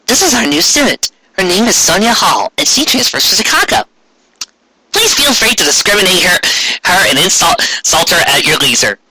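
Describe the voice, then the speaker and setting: A man's voice: sits high, very animated, normal speed, sharp tone, slight background noise, pitched above the performer's own voice, full character voice, low-quality recording. A man voicing a school principal in a cheerfully callous announcing manner for a story reading. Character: loud mocking school principal introducing a student.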